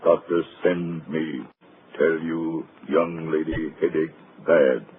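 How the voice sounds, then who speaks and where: Very deep, slow henchman. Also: little pitch movement, a little hiss or hum. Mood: stolid. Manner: halting, simple broken English. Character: slow-witted, obedient henchman speaking broken English. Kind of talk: old-time radio thriller acting. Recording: muffled, tinny vintage radio recording.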